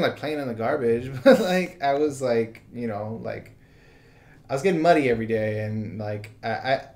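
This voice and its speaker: An American man talking casually as a podcast host, rambling and halting, leaning heavily on "like" and "you know" as he comments on the news. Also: medium pitch, gravelly, darkish tone.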